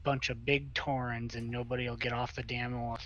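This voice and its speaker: A man's voice: mid-range voice, little pitch movement, brisk, sharp tone, muffled recording. A blunt, exasperated man complaining in casual gaming chat. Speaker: raid member.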